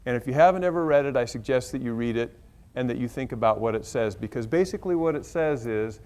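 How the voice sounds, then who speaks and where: A man's voice: medium pitch, quick pace, a little mellow, clean tone. An American man speaking as an education administrator in an informal motivational speech, blunt and emphatic, repeating key phrases for weight.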